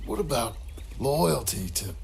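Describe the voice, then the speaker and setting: A man playing a rough, uncertain outlaw family man in a video game, plain-spoken with an American Western drawl. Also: mid-range voice, lively intonation, measured pace, darkish tone, slight background noise. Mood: doubtful. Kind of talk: naturalistic dramatic game acting.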